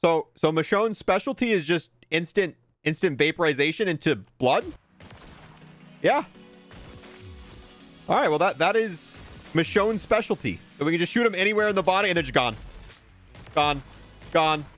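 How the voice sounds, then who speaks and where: A man's voice: on the high side, animated, normal speed, pauses often, fairly bright tone, faint music behind. A man giving casual, conversational commentary as the host of a gaming review, thinking aloud as he goes. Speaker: gaming video host reviewing a cosmetic bundle. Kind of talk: casual video game commentary.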